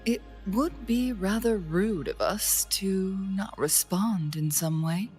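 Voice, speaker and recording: An actress playing a composed, thoughtful young female strategist in a game, speaking calmly with a soft breathy edge. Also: medium pitch, soft background music, natural voice. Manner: calm, measured, softly breathy. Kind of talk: video game cutscene dialogue.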